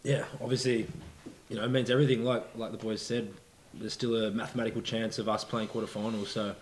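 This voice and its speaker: A young rugby player answering press questions earnestly, with many fillers. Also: male voice, on the low side, flat delivery, a little fast, a little hiss or hum, low-quality recording.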